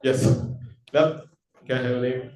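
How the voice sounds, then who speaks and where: A man's voice: medium pitch, steady tone, unhurried, darkish tone, recorded from a distance. Joking man hosting in a hall. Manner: joking, chatty, switches languages. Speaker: event host. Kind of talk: casual Q&A hosting with jokes. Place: event hall.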